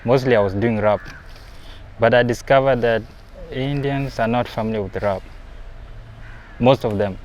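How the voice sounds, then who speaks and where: A young man from South Sudan telling his story in a documentary interview. He is reflective and grows animated when he talks about performing. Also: medium pitch. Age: young adult.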